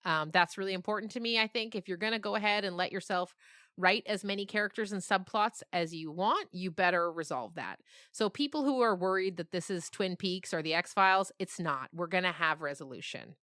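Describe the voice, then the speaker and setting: A woman's voice: on the low side, a little fast, bright, forceful tone, no echo, studio quality. A woman talking casually in an interview as a writer, explaining her ideas thoughtfully and often checking in with the listener. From grew up on a farm. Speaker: interviewed writer of a fiction podcast.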